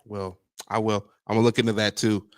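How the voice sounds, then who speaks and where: A man co-hosting a casual livestream, relaxed and repetitive, hyping up his co-host. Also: lowish voice, a little fast, no room sound, clean studio sound. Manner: relaxed, repeats himself, warm hype-man talk.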